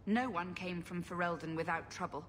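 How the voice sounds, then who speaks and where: An actress playing a firm, plain-spoken, protective refugee leader in a fantasy video game. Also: female voice, moderate pace, close to an everyday voice. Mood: guarded. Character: guarded, no-nonsense refugee leader, protective woman. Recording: faint rumbling noise behind the voice.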